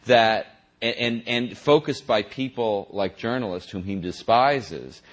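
A man, a journalist, answering interview questions in a halting way, with many restarts and a dry, wry edge. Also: lowish voice. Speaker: journalist and author.

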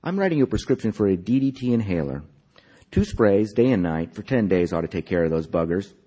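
A man's voice: on the low side, big pitch swings, brisk, mellow, breathy edge, dull audio. A man voicing a smug, avuncular middle-aged doctor from an old educational film in a patronizing manner.

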